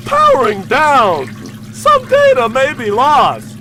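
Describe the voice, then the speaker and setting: A man voicing a loyal, simple-minded robot calculator with a shrill, mechanical voice in a radio comedy. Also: huge pitch swings, takes it slowly, sharp tone, slight background noise, exaggerated voice. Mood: loud, mechanical. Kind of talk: old-time radio comedy acting.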